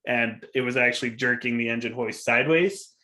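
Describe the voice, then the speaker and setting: A man's voice: medium pitch, brisk, bright, forceful tone. A man chatting casually as co-host of a car show, telling stories in an informal, chuckling way. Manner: chuckles through his talk. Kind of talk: casual chat and storytelling.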